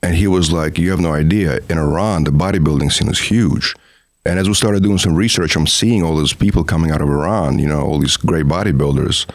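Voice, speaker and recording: A man's voice: a bass voice, fairly quick, dry recording, studio quality. A middle-aged man, a documentary filmmaker guest, telling stories in a talkative way full of "you know what I mean.". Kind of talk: casual interview conversation.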